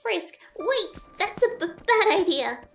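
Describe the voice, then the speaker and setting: Anxious female lizard scientist, woman actor, audio drama: stammering, slow, swooping pitch, faint music behind. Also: sing-song, full character voice. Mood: alarmed. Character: nervous, nerdy female lizard scientist monster.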